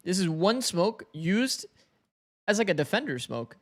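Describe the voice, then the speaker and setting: Talkative streamer with a slightly raspy voice. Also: male voice, sits high, big pitch swings, a little slow. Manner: talkative, thinks aloud, chats with viewers. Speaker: streamer co-streaming an esports match. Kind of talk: casual match analysis.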